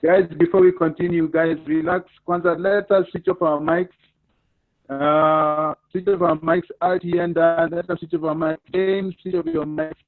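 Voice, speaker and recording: A Kenyan man with a slightly raised pitch hosts a video call in a lively way, directing the participants and reading out questions. His voice comes through a tinny, phone-like line with a dry sound. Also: normal speed, no echo. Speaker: host. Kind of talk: hosting a video call interview.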